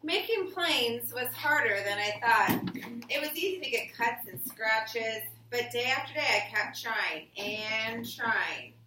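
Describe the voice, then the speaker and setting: A woman teacher reading a picture book aloud to her class in a deliberate storytelling way and prompting pupils with questions. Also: on the high side, lively intonation. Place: indoor classroom. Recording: roomy classroom, distant mic, faint noise.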